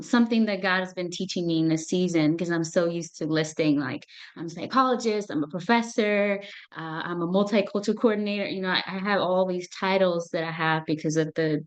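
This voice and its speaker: A woman from Ethiopia, a clinical psychologist, giving an earnest talk to an audience, with frequent fillers and restarts. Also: on the low side.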